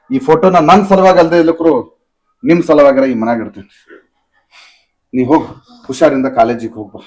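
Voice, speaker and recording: A man's voice: fairly high pitch, darkish tone, close to an everyday voice, poor audio. An actor playing a stern middle-aged father who speaks firmly.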